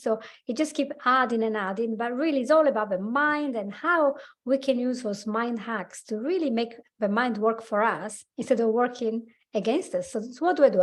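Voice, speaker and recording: A woman in her forties with an Italian accent, a coach talking animatedly as a podcast guest, laughing often and speaking slightly broken English. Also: on the high side. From Italy. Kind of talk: casual interview chat.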